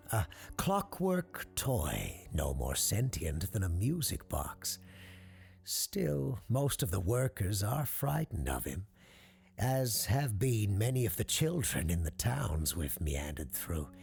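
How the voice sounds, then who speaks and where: An obsessive circus showman , acted out by a male narrator, in a dark audiobook. The voice is low and gravelly, swooping theatrically as he lingers rapturously over each word. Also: heavily acted. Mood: amused, coaxing. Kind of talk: audiobook character acting.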